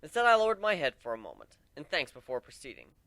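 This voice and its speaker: A man's voice: a little high, sing-song, fast talker, a bit bright, dry recording. A man narrating a first-person story as a dramatic reading.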